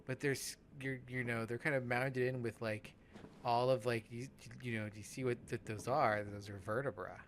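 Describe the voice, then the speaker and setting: A man chatting casually as he commentates on a game, halting and often trailing off mid-thought. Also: mid-range voice, fairly even intonation, slight background noise. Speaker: let's play podcast host.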